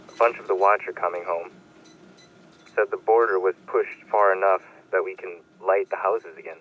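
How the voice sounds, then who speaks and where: A man's voice: deep voice, fairly bright tone, slight background noise, poor audio. An actor playing a hopeful young man recording an audio diary in a wartime drama.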